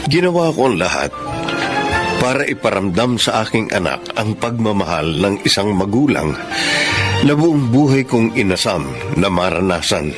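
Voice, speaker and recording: A man's voice: fairly low pitch, very animated, moderate pace. A man playing an earnest, caring father who narrates and talks in a radio drama. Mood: reflective, sincere. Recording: music behind the voice.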